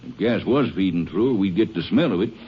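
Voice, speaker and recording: An actor playing a folksy, laconic small-town Texas constable in 1950s American radio drama. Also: male voice, low voice, expressive, a little fast. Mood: helpful, easygoing. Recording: dull, hissy 1950s radio recording.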